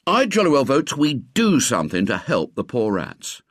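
A man's voice: medium pitch, wildly swooping pitch, moderate pace, fairly bright tone, no echo, clean studio sound, voice pushed up, exaggerated voice. A man voicing a headstrong, indignant English schoolboy in a storybook, with an emphatic delivery. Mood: determined.

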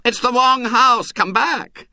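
Man doing a shrill fussy choir leader, flustered, high, dry sound. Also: measured pace, pushed and bright, no room sound. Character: flustered fussy man leading carol singers.

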